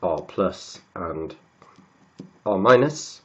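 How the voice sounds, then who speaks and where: A man with a cold, croaky and sniffly, working slowly through textbook maths and often repeating words as he writes. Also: low-pitched, animated, fairly bright tone. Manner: halting, repeats words while writing. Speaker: maths tutor.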